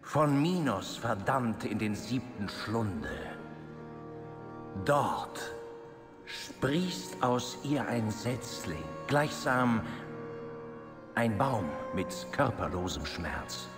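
Stately, echoing ghostly guide. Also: male voice, mid-range voice. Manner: poetic, stately declamation. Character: solemn ghostly male poet guide through hell. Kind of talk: dramatic game cutscene acting. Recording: long cavernous reverb.

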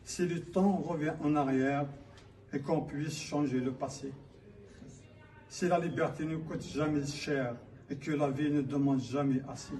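A man from Kabylia in Algeria declaiming his own poetry in a solemn, measured manner. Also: fairly high pitch, darkish tone. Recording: distant mic, faint background noise.

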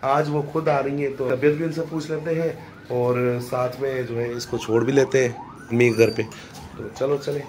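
A man in his thirties with a hoarse throat, chatting casually to viewers as a family vlog host. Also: medium pitch, steady tone, normal speed, a little mellow. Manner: chatty, teasing, addresses viewers directly. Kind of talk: casual vlog talk to camera. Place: at home, indoors. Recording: noisy home recording.

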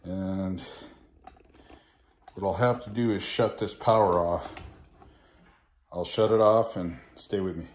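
A man's voice: sits low, steady tone, slow, long pauses, darkish tone. Folksy older man teaching home repair. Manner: chatty, folksy, keeps checking in with viewers. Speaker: DIY home repair presenter. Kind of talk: casual how-to demonstration. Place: small kitchen indoors. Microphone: camera mic on a tripod, some distance off. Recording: echoey room with clear work noise.

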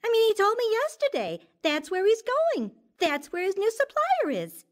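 Rich: a brazen, smug young mistress in a radio drama. Her voice is very high and lively, swooping as she needles the wife with teasing questions. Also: normal speed.

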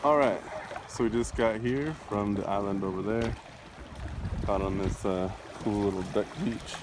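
A man's voice: lowish voice, fairly even intonation, normal speed. A man giving casual, offhand, rambling travel vlog narration as he films. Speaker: vlogger. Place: outdoors on and around a lake. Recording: outdoor camera audio, clear wind and water noise.

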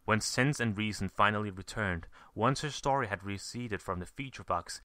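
A man narrates a piece of fan fiction. His voice is fairly low and very bright, in a close, dry recording. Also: normal speed, no room sound. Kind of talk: scripted story narration.

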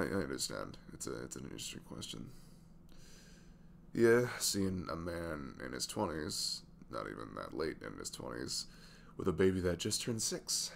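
A man in his twenties playing a sweet, easygoing single dad in an intimate ASMR audio roleplay, chatting haltingly in a velvety voice. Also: slight background noise, full character voice. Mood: playful, candid. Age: 20s. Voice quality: deep, gravelly velvet voice. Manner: halting, chuckling, conversational.

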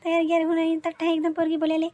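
Woman playing a shrill, scheming Varhadi village mother. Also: monotone, rapid-fire, dark tone. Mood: grudgingly impressed. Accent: Varhadi Marathi. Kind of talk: comic cartoon dialogue.

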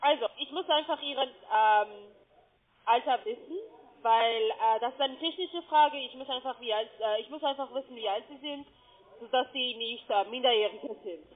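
A woman with a foreign accent, a call centre agent who speaks in a hesitant, halting way. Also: a little high. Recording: tinny phone line.

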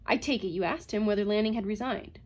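A woman's voice: medium pitch, fast, lightly acted. A woman voicing a sardonic, bitter female robot psychologist with a cutting, imperious manner, in a story reading. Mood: sarcastic.

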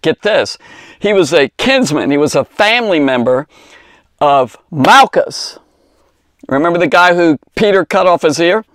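A man's voice: fairly high pitch, expressive, a little slow, a bit bright, no echo, clean studio sound. A man in his fifties with an American accent, giving an informal, rambling Bible lesson for kids, often restarting and correcting himself. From Cincinnati, United States. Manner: rambling, conversational, with false starts and self-corrections. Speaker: Bible teacher. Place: small indoor study lined with bookshelves. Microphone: lapel mic clipped at the collar.

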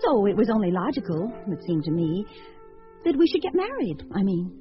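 A woman's voice: mid-range voice, very animated, brisk, lightly acted. A woman acting in a radio drama as a wry, fluttery entertainer who muses aloud about her failed proposals. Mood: wry musing. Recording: dull lo-fi radio sound, faint music.

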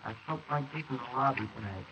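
A man's voice: mid-range voice, fast talker, over loud music, lightly acted. A man playing a frightened young husband haunted by guilt, in tense, panicky 1940s radio acting. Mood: uneasy. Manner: tense, panicky, rising to shouts. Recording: hissy lo-fi 1940s wire recording.

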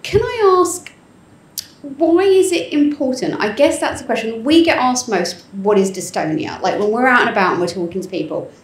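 A woman's voice: fairly high pitch, lively intonation, moderate pace. A woman hosting a panel, asking chatty questions into a handheld mic in a conference room. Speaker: panel host. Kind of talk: moderating a panel. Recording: roomy echo.

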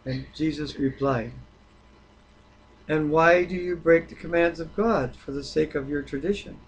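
A man reading the Bible aloud and discussing it informally, with a halting delivery that stumbles over words. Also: fairly high pitch, breathy edge, poor audio. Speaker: Bible reader.

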